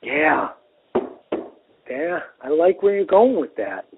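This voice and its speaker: An American man with a husky voice chatting casually with a friend, agreeable and a little halting. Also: a little high, animated, noisy background. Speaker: podcast co-host. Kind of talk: casual phone chat between friends. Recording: muffled lo-fi phone-call audio.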